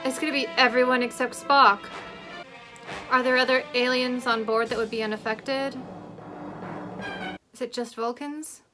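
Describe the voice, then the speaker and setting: A woman's voice: high voice, fairly even intonation, moderate pace, long pauses, music in the background. Woman giving casual reaction commentary over soundtrack. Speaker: host reacting to a TV episode. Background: soundtrack of the episode.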